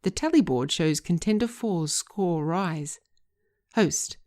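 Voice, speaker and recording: A woman narrating a screenplay aloud, reading scene headings and stage directions in a measured, deliberate way. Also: fairly low pitch, lively intonation, a little mellow. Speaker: writer and narrator.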